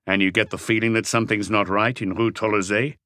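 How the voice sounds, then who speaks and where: Man as a lower-pitched, probing police inspector. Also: steady tone, sharp tone, no room sound. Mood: probing, patient. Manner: terse, measured questioning.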